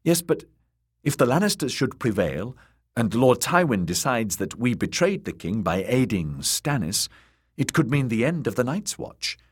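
A man's voice: mid-range voice, sing-song, normal speed, breathy edge, voice pushed up. A man voicing a timid, earnest young male scholar in an audiobook, hesitant and deferential in manner. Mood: anxious, urging. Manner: hesitant, nervous, stressing his words.

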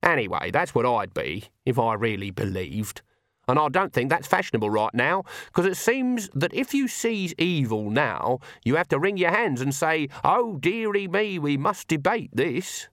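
A man's voice: a little high, very animated, moderate pace, hardly any pauses, fairly bright tone, no room sound, studio quality, pitched above the performer's own voice. A man voicing a fierce, stubborn old country witch woman, blunt and folksy with an emphatic, scolding manner, in an audiobook. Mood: firm advice.

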